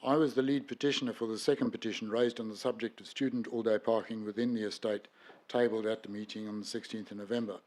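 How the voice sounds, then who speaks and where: A man's voice: fairly low pitch, steady tone, quick pace, a little mellow. An Australian man, a resident and lead petitioner, reading formal questions in a precise, aggrieved tone. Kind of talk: reading prepared public questions.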